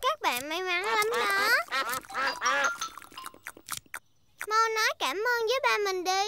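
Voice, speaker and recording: A woman's voice: very high-pitched, animated, normal speed, vocal fry, music in the background, full character voice. A woman voicing a bossy, cheerful little girl pig in an earnest, childlike way for a preschool cartoon dub. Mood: eager. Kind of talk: Vietnamese-dubbed preschool cartoon acting.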